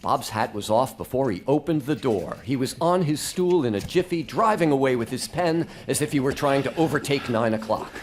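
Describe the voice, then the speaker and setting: A man's voice: medium pitch, animated, moderate pace, hardly any pauses, slight background noise. A man giving theatrical, scripted narration in a live radio play. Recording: loud music behind live narration.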